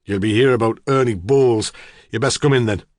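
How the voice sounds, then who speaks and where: A man doing a gruff old farmer. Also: medium pitch, brisk, dry recording, clean studio sound, lightly acted. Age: older. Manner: brusque, impatient. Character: gruff, squat older male farmer, blunt and curt.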